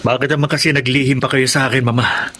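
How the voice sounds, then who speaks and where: An actor playing an evasive, impatient husband and grown son in a radio drama, defensive and insistent. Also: male voice, medium pitch, level tone, quick pace. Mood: subdued, questioning. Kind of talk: Tagalog radio drama acting. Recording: loud music under the voice.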